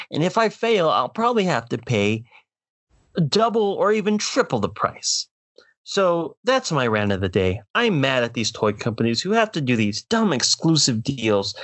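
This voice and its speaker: A man's voice: a little high, fairly bright tone, dry recording. A man hosting a podcast, delivering a comedic rant in a mock-dramatic, exasperated way, straight to the listeners. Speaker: podcast host.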